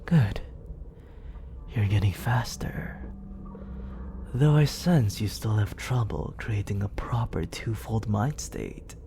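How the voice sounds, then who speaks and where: A soft-spoken scheming villain, voiced by a man, coaxes in a slow, gravelly near-whisper. His tone is dark and mellow, and his pitch swings widely between hushed murmurs. It is a close-mic ASMR roleplay. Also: background noise. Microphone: close studio condenser.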